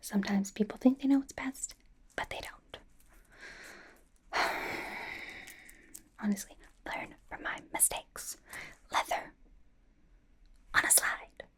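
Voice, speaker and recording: A woman's voice: high-pitched, takes it slowly, long pauses, a bit bright. Whispering woman in a playful ASMR roleplay. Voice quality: breathy whisper. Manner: playful, reassuring, chatty asides. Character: bubbly, doting young female pop idol playing stylist.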